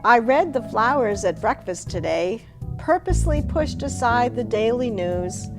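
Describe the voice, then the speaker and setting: A woman hosting a poetry program, reading verse expressively and deliberately, and chatting casually between pieces. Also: mid-range voice. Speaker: host and poet.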